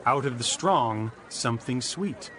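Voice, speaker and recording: A man playing a bold, vengeful biblical strongman in a dramatized audiobook, declaiming forcefully. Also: mid-range voice, expressive, measured pace. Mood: challenging.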